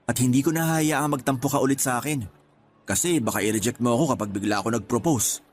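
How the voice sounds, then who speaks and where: A man's voice: mid-range voice, fast talker, a little hiss or hum, lightly acted. A man with a Filipino accent playing a devoted, teasing young boyfriend in a conversational radio drama. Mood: tender, teasing. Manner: sweet-talking, reassuring.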